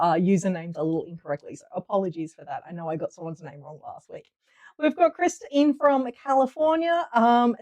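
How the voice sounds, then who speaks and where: A woman's voice: medium pitch, dark tone. A woman hosting a casual livestream, chatty and upbeat while getting over a cold. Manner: chatty and upbeat, reading viewer names aloud. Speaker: livestream host. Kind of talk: casual livestream chat greeting viewers.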